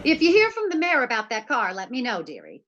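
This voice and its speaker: Lady as teasing long-suffering radio wife, dry humor, lilting a lot, loud crowdy noisy recording. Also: on the high side, wildly swooping pitch, brisk, lo-fi sound. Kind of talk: classic radio comedy acting. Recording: very noisy, crowd sound behind.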